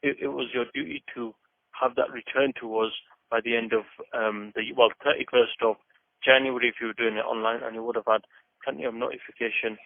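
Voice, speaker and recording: A man working as a call handler on a customer service phone call, speaking haltingly and repeating words. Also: mid-range voice, fairly even intonation, creaky, dry recording. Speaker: tax office call handler. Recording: dry, dull lo-fi phone call recording.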